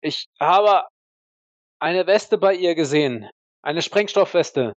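A man voicing a defensive, dutiful army sniper who speaks haltingly and with rigid military formality in a roleplay audio drama. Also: on the high side, animated, no echo, highs cut off, voice pushed up. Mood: strained, defensive.

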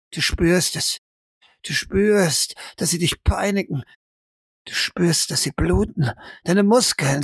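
A man's voice: sits high, a bit bright, no room sound. Intense male narrator, close and dry. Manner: intense, short repeated phrases. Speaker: game master and narrator. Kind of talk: dramatic second-person narration.

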